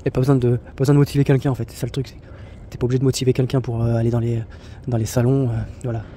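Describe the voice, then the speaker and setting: Rambling man with a dark, mellow voice. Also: mid-range voice, flat delivery, rapid-fire, slight background noise. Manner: rambling, many fillers and restarts; blunt, slangy. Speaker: travel vlogger. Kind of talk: casual spoken monologue.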